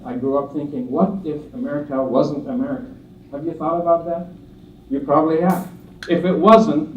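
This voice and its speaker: A man's voice: fairly high pitch, slight background noise. Lebanese-born guy giving a funny earnest talk at a conference, kinda far from the mic, echoey hall, muffled sound. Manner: humorous, earnest storytelling with asides. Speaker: speaker at a conference. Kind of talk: motivational speech. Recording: distant roomy hall sound, dull.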